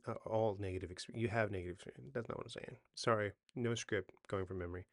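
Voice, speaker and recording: Gravelly-voiced man in his forties. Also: lowish voice, fairly even intonation, fast talker, dry recording. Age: 40s. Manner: conversational, self-correcting, often checks in with "right?". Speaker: hypnotist and coach giving sleep advice. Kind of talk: unscripted how-to talk to camera.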